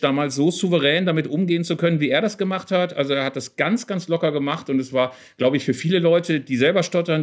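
A middle-aged man co-hosting a casual football discussion, explaining things earnestly and at length. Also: a little high. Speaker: podcast co-host.

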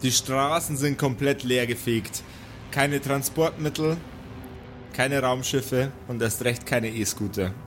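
A man's voice: mid-range voice, slow pace. A man acting as game master, narrating an improvised tabletop role-play in mock-formal storyteller phrasing, with music behind him. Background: prominent background music.

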